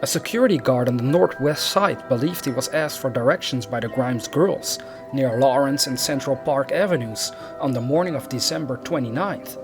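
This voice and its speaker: A man's voice: mid-range voice, moderate pace. A man reading a scripted true crime narration as the narrator of a video. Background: background music.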